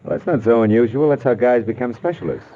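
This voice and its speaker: A man's voice: mid-range voice, fast, close to an everyday voice. An American man playing a wry, hard-boiled private detective in a 1940s radio drama, with clipped, sardonic delivery. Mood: skeptical. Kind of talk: 1940s radio detective acting, first-person narration and dialogue. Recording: noisy, muffled old radio recording.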